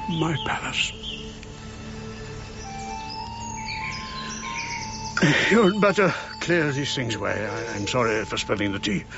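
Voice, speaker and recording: An actor playing a frail, irritable, wistful man of ninety in a radio drama, speaking haltingly and broodingly. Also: mid-range voice, over music, natural voice. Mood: grieving. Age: 90s. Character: frail, irritable, wistful ninety-year-old English archaeologist. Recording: muffled, noisy, birdsong behind.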